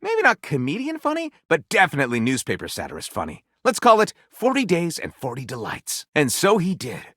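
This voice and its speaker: Theatrical, swooping storyteller. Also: male voice, fairly high pitch, huge pitch swings, fairly quick, hardly any pauses, sharp tone, no echo, studio quality, heavily acted. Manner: grandiose, mock-dramatic. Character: whimsical, theatrical male storyteller, eager and mock-literary. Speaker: video game character voice actor. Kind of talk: theatrical storybook narration with comic commentary.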